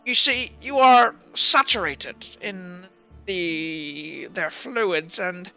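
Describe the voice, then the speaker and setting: A man voicing a fussy, formal plague doctor in a tabletop game, halting but insistent. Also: sits high, creaky, bright, forceful tone, clean tone, no echo, muffled recording, soft background music, full character voice. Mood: officious.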